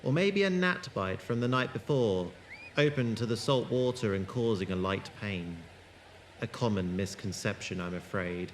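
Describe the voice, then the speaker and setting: An actor playing an eccentric, wordy alien time traveller in an audio drama, grandiose and wry. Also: male voice, fairly low pitch, a little hiss or hum, natural voice.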